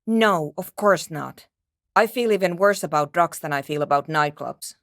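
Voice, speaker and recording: Curt, low-voiced woman programmer. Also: moderate pace, a bit bright, no room sound. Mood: firm, disapproving. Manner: brusque, dismissive, dry. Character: curt, skeptical, world-weary woman programmer absorbed in research. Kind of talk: video game character dialogue.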